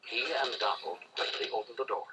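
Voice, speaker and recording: A man's voice: on the low side, a little fast, rough recording. A man narrating a children's read-along story in a dramatic, theatrical, scripted style. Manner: theatrical, animated. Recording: music behind, played read-along recording.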